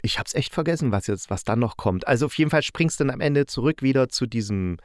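A man's voice: mid-range voice, expressive, quick pace, no echo, studio quality. A man hosting a podcast, reviewing in a casual, unscripted, enthusiastic and colloquial way and often correcting himself. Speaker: podcast host. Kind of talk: casual spoken audio drama review, unscripted.

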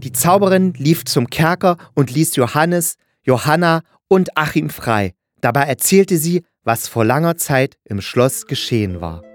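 A man's voice: a little high, lively intonation, measured pace, hardly any pauses, soft background music. A man giving scripted narration of a fairy tale for children.

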